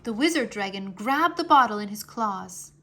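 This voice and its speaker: A woman reading a children's fantasy chapter book aloud as an expressive storyteller. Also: mid-range voice, normal speed. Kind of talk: expressive scripted narration.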